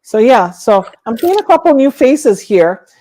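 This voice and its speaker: A woman's voice: a little high, sing-song, a little slow, dark tone, low-quality recording. A woman co-hosting a livestream, chatting casually about her hobby in an informal, conversational way. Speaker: livestream co-host.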